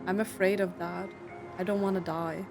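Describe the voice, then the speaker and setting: A woman's voice: on the low side, steady tone, normal speed, gravelly. A woman streamer casually reacting to a game, emotional and hesitant as she speaks. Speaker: gaming streamer. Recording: loud game music behind the voice.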